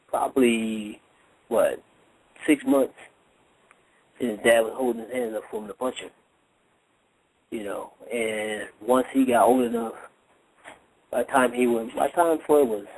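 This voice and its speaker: A man's voice: mid-range voice, darkish tone, poor audio. A man talking casually as a boxing trainer in a radio interview, explaining his points with emphasis and repetition. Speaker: boxing trainer, guest analyst.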